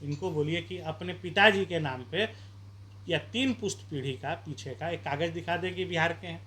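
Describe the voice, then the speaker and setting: A man's voice: a little high, normal speed, fairly bright tone. Male candidate, street interview, argumentative and rousing, light background noise. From Bihar, India. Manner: combative, rhetorical questions, campaign-style appeals. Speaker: independent election candidate.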